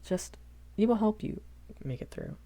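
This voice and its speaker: A man's voice: high voice, sing-song, moderate pace, vocal fry, warm tone, exaggerated voice. A young man playing a shy, kindly magic academy professor in a rambling audio roleplay, stammering and hesitant. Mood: flustered, reassuring. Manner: hesitant, stammering, nervous chuckles, apologetic.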